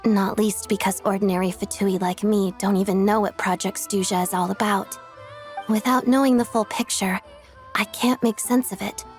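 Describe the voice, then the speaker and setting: A poised young female officer from a video game, played by a woman. She is principled and efficient, speaking politely with a composed, businesslike manner at a middle pitch, over soft music. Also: steady tone, normal speed, lightly acted. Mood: earnest, troubled. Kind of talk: English game dub dialogue.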